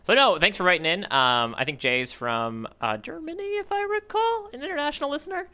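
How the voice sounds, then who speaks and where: Bright-voiced man, playful podcast co-host. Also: sits high, wildly swooping pitch, a little fast. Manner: playful, jokey asides. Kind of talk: casual readalong podcast chat.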